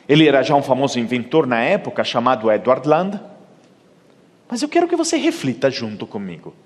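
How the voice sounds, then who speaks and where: A middle-aged man with an Italian accent, giving a conference keynote with animated storytelling, recorded with a stage microphone in a large hall. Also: medium pitch. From Italy. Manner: animated storytelling, acting out quoted dialogue. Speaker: keynote speaker. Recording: long hall reverb.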